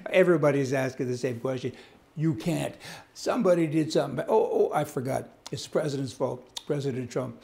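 A man's voice: on the high side, vocal fry, darkish tone. An older man, giving indignant, rambling, folksy news commentary as host, reading to camera on an indoor studio set. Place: indoor studio set with wood-panel wall.

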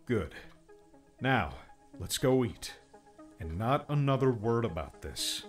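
A man's voice: medium pitch, sing-song, takes it slowly, faint music behind. A man acting a stern, exasperated friend in an audiobook, blunt, scolding and insistent.

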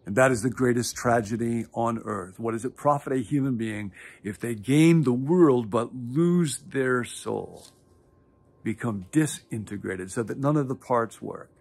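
A man's voice: mid-range voice, unhurried, vocal fry, darkish tone, husky. A man in his sixties, a pastor, earnestly explaining ideas straight to camera outdoors, with the odd filler sound. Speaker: pastor and teacher. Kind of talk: teaching to camera. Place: outdoors in front of a leafy hedge. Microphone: camera at close range.

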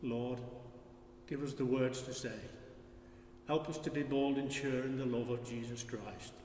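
An older man reads prayers of intercession phrase by phrase, in a measured way. He sounds distant from the microphone, in a very echoey church. A faint noise sits behind his voice. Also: medium pitch, fairly even intonation, a little mellow. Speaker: lay reader of prayers. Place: large stone church, lectern.

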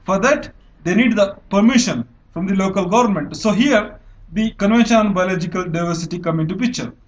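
A man's voice: high-pitched, brisk, dull audio. A young man with an Indian English accent, teaching an exam-prep lecture over slides, explaining step by step with frequent fillers and self-corrections. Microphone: voice-over recorded over screen annotation.

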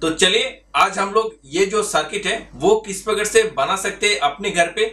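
A man's voice: high voice, normal speed, sharp tone. A man, giving instructional tutorial narration as host of an electronics channel. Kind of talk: instructional tutorial narration mixed with English terms.

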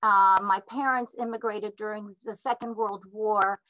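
A woman's voice: medium pitch, steady tone, bright, forceful tone, no echo. A woman in her sixties whose first language is Ukrainian, giving a lecture over a video call from her home and often restarting her sentences. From Philadelphia, USA. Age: 60s. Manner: hesitant, restarts often, slow and careful. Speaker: artist lecturer. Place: home room with a wood stove. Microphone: laptop webcam mic on a video call. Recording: dull, dry video call audio.

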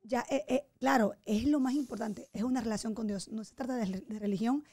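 A woman in her thirties hosts a podcast, talking in a fairly high voice. She is expressive and affirming, and she gestures as she speaks. She is close on a studio mic in a small home studio. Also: brisk. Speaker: podcast host. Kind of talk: casual interview chat. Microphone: studio mic on boom arm, headphones.

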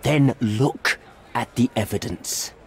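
An actor playing a middle-aged envoy in a video game, formal, evasive and smooth-talking, with a placating, pleading manner. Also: male voice, mid-range voice, takes it slowly. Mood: anxious, pleading. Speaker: British envoy. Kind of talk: video game cutscene acting.

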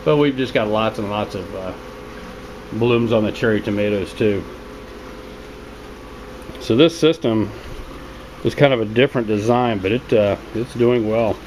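A man gives a casual, unscripted video tour in a plain-spoken, low-key way, talking from behind a handheld camera in a small basement room. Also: unhurried, pauses often, poor audio. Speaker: home hydroponics hobbyist narrating a video tour. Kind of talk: casual unscripted walkthrough narration. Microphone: handheld camera, narrator behind it. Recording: steady loud hum and water noise.

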